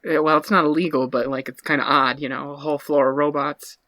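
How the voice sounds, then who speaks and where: A man's voice: on the high side, level tone, fast talker, bright, forceful tone, dry recording. A man plays an impatient detective in an improvised comedy interrogation. He stammers in disbelief and presses on with his questions, exasperated. The recording is dry, with little room sound. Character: exasperated male police detective questioning a witness.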